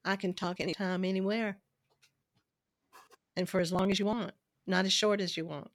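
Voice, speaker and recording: A woman talks as a podcast guest in a chatty, eager way. Her voice is going hoarse as she loses it. The sound is dry and close, with no room echo. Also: medium pitch, fairly even intonation, moderate pace. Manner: chatty, recommends people with enthusiasm. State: losing her voice. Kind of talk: casual phone-style interview chat.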